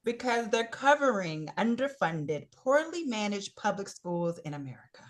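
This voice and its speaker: Stern woman teacher with lively intonation acted by a woman. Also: mid-range voice, moderate pace, lightly acted. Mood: composed, cutting. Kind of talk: sitcom table read.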